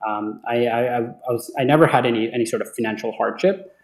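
A young man from Bangladesh whose first language is Bengali speaks at a middling pitch in an echoey room. He talks like an interview guest telling his story casually, hesitating and doubling back on words as he goes. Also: fairly quick. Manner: hesitant, often repeating words. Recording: echoey, roomy sound.

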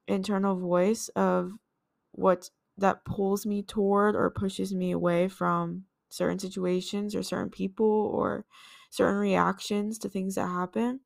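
Woman podcaster, earnest and reflective, bit of vocal fry, mid pitch. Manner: earnest, thinks aloud with restarts. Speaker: podcast host. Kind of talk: reflective solo podcast talk.

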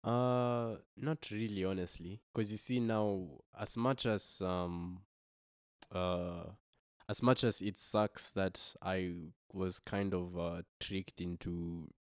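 Deep-voiced young man from Kenya. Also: steady tone, slow, smooth, no room sound, studio quality. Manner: thoughtful, hesitant with many restarts. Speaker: guest musician. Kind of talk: reflective podcast conversation.

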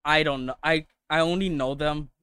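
Bright, swearing young man from India. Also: a little high, fairly even intonation, normal speed, smooth, dry recording. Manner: swears a lot, laughs often, loose storytelling. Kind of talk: casual chat.

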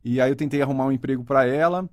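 A man in his forties from Brazil, a guest in a casual interview, telling his career story in a talkative, reflective way and often tagging his sentences with a quick question. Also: mid-range voice, no room sound. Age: 40s. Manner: talkative, reflective, frequent "né?" tags.

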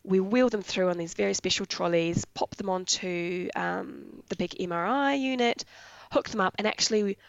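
A woman from New Zealand with a raspy voice from being sick, eagerly explaining her work in veterinary anaesthesia in a casual interview. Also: medium pitch, normal speed, no room sound, lo-fi sound. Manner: enthusiastic, explains jargon in plain terms. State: raspy voice from illness.

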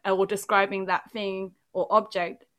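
A woman rambles through a childhood story in casual chat, leaning on "like" as she goes. She was born in England, though English was not her first language. Her voice sits at an ordinary middle pitch. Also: moderate pace. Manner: animated storytelling, rambling with many "like"s.

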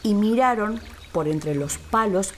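A woman narrating a children's story in an expressive, deliberate, theatrical way. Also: medium pitch, slight background noise. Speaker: actress, storyteller.

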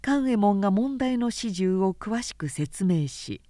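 A woman reading literary fiction aloud as a narrator, in a measured and composed storytelling manner. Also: medium pitch, lively intonation, warm tone, no room sound, clean studio sound.

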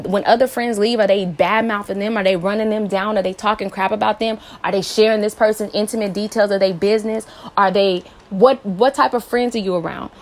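Late-twenties woman, podcast host, earnest encouraging advice talk, mid-pitched voice. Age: late 20s. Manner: earnest, encouraging, many rhetorical questions. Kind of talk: personal advice monologue.

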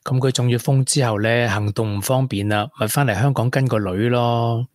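An actor playing a regretful former tech prodigy in a radio drama, with a Hong Kong accent and earnest delivery. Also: male voice, medium pitch, fairly even intonation, moderate pace, dry recording, studio quality, natural voice. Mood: confessional. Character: regretful young ex-tech prodigy, confessing to a woman. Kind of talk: Cantonese radio drama acting.

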